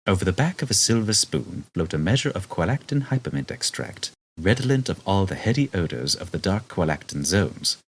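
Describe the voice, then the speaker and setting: A man's voice: low-pitched, big pitch swings, fairly quick, few pauses, a little hiss or hum. A man reading a comic novel aloud as a scripted storybook narration. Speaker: audiobook narrator.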